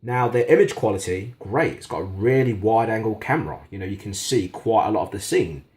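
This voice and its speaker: Low-voiced man giving a product review. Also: animated, brisk, a little mellow. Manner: conversational, frankly critical. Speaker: gadget reviewer. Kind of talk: casual product review and demonstration. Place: indoors in a kitchen.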